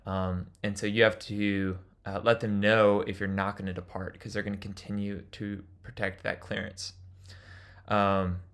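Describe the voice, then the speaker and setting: A man's voice: on the low side, vocal fry, fairly bright tone. A man giving an instructional lecture as a flight instructor, explaining things in an informal way.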